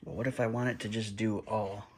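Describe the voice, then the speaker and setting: Low-voiced man chatting through an unboxing. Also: level tone, brisk, heavy background noise. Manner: chatty, mutters while handling gear. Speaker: radio hobbyist vlogger. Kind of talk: casual unboxing commentary. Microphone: handheld phone camera.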